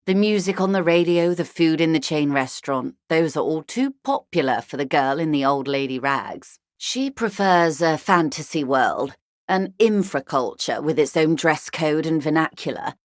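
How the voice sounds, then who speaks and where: A woman's voice: low voice, unhurried, no room sound, studio quality. An actress playing a wealthy, worldly older woman in a video game, articulate, arch and wryly condescending. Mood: coolly dismissive. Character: wealthy, worldly older woman, urbane, wry, intellectually vain. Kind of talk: video game character dialogue.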